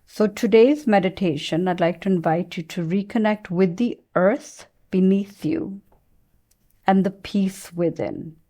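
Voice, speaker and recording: A woman leading a guided meditation in a soothing, gentle manner, with long unhurried pauses. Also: mid-range voice.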